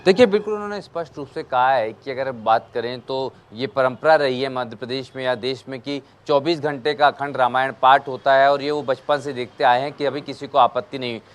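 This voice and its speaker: A man's voice: medium pitch, brisk, hardly any pauses. A man working as a television news correspondent, giving a live explanatory report.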